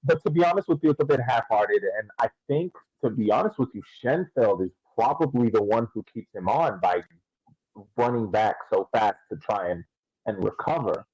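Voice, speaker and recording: Mellow, husky man analysing sport. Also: mid-range voice, animated, normal speed, dry recording, poor audio. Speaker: podcast co-host.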